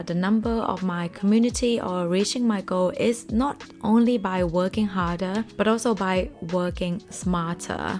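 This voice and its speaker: A young woman whose first language is Thai, talking earnestly and chattily to camera about her plans, with small slips. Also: mid-range voice. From Thailand. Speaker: finance vlogger. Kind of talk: casual talk to camera.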